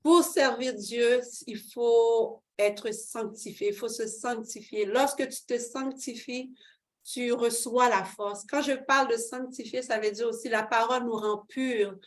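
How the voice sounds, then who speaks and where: Woman, middle-aged, higher voice, fervent, hesitant fillers, interview guest. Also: unhurried. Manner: fervent, expressive, with frequent fillers and laughs. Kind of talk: personal faith testimony.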